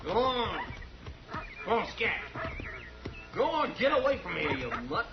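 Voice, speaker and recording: A man's voice: sits high, very animated, unhurried, vocal fry, over music, exaggerated voice. An actor playing a mean, defiant drifter gunman with a rural American western drawl in a 1950s radio drama. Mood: irritated. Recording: dull, noisy 1950s radio recording.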